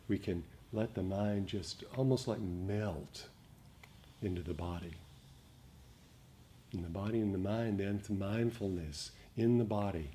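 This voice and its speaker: A man giving a dharma talk as a meditation teacher, speaking reflectively and gently, with frequent pauses. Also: low voice. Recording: noticeable background noise.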